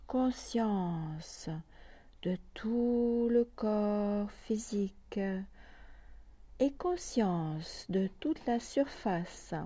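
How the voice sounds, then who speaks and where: A woman guiding a yoga nidra meditation calmly and softly, in short phrases with long pauses between them. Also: mid-range voice, no room sound. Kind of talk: slow guided meditation.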